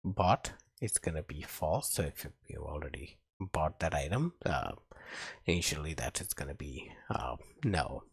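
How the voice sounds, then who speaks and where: Very deep, slow-talking man teaching coding. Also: lively intonation, gravelly, breathy edge, dry recording. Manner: halting, talks while typing. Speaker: programming instructor. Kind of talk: screen-recorded coding tutorial narration.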